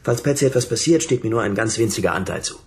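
An actor playing an irritable, suspicious husband in a radio drama, answering curtly and defensively. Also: male voice, lowish voice, lively intonation, fast talker, darkish tone. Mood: irritated. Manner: curt, indignant, suspicious. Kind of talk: German radio drama acting.

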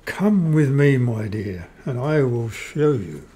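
An actor playing a courteous, matter-of-fact vampire count and husband in a radio play. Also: male voice, mid-range voice, very animated, warm tone, a little hiss or hum. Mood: commanding. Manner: measured, courteous, explanatory. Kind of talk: old-time radio play acting.

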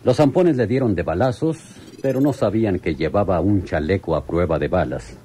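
A man's voice: low-pitched, lively intonation, moderate pace, warm tone. A man playing a deferential, cheerful police lieutenant in a radio soap. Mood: reassuring. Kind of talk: Mexican radio soap acting.

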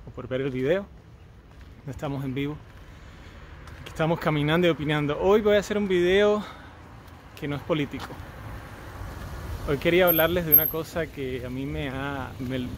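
A man's voice: a little high, steady tone, moderate pace, pauses often. Chatty Cuban man vlogging outdoors. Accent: Cuban Spanish. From Cuba. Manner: chatty, joking asides while walking. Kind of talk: casual walking vlog. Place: outdoors on a residential street. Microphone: phone on a selfie stick at arm's length. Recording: outdoor street noise. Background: street traffic and outdoor ambience.

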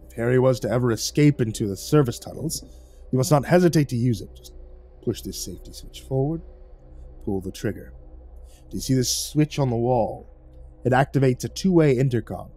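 A man voicing a calm, formal creature keeper who speaks in measured, unhurried sentences, in a storybook narration. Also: mid-range voice, close to an everyday voice. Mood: calm, instructive.

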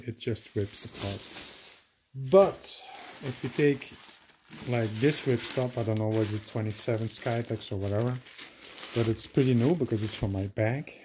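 A man giving a casual hands-on demonstration at a table, talking haltingly with many fillers and self-corrections. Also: on the low side, noisy background. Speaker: DIY video maker. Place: indoors at a table.

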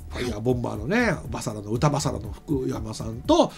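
Middle-aged man, animated swooping pitch, quick pace, casual rambling show host, desk mic. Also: vocal fry, music in the background. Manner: rambling, thinking aloud. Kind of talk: casual chat with announcements. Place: small studio set, indoors. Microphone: desk microphone on a stand.

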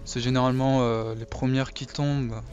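A hoarse French man casually commentating his own game, hesitant, with many pauses and fillers. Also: medium pitch, highs cut off. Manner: relaxed, hesitant with many "euh". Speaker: gamer commentating his play. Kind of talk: casual let's play commentary.

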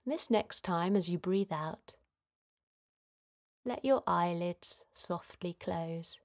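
Female children's meditation host. Gentle, simple delivery, very slow pace, lowish pitch. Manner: gentle, soothing, simple instructions with pauses. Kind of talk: guided relaxation for children.

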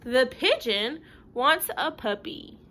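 A woman's voice: high-pitched, lively intonation, takes it slowly, sharp tone. A woman in her thirties reading a picture book to children, chatty and sing-song, with casual asides that explain things simply. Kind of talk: animated read-aloud with casual asides. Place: living room at home. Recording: home recording with light room noise.

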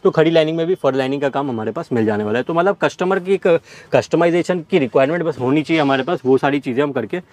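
A young man showing fabric stock on a warehouse floor, reeling off items in rapid salesman patter. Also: on the high side, darkish tone, no echo. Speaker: fabric seller. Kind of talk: product showcase walkthrough.

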